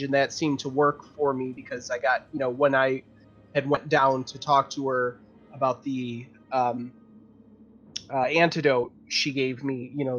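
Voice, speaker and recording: A man's voice: mid-range voice, fairly bright tone. A man talking casually as a tabletop game player, informal and rambling in his delivery. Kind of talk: casual game debrief, sometimes reading notes aloud. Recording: dull lo-fi audio with faint noise.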